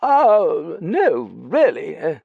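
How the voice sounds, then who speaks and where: A male narrator acts out a plump, naive young gentleman in a storybook. The voice is pitched high and swings up and down. He hesitates and stammers, sounding sheepish and a little foolish. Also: very animated, normal speed, husky, dry recording, narrow, tinny audio, exaggerated voice. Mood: embarrassed, reluctant. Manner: hesitant, stammering upper-class slang.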